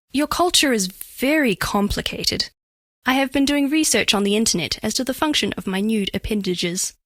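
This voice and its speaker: A high-pitched female alien of living diamond for a video game. She is bashful yet flirtatious, curious and literal-minded, with formal, carefully precise wording. The recording is very dry, with no room sound. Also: fairly bright tone, no echo. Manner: precise, stilted formal diction, earnest, coyly playful. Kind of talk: scripted game character voice lines.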